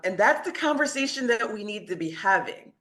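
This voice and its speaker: Female podcast guest, bright and forceful, medium pitch, conversational, emphatic delivery. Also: animated, a little fast. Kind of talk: conversational discussion.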